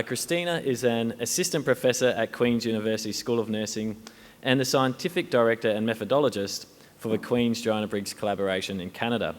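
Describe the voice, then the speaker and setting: A man chairs a conference session and introduces the next speaker, reading a prepared bio at a steady pace. His voice sits on the low side. A long reverb from the hall trails after his words.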